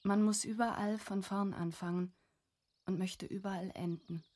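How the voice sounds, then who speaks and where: A woman's voice: mid-range voice, steady tone, moderate pace, darkish tone, natural voice. An actress playing a reflective, wistful young woman reading her diary in a literary radio drama, composed and carefully articulated.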